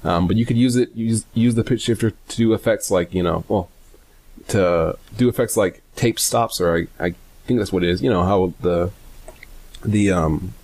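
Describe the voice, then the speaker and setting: A man presenting a casual, unscripted software tutorial in a hesitant, rambling way, often correcting himself. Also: sits low. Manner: hesitant, halting, self-correcting, rambling delivery.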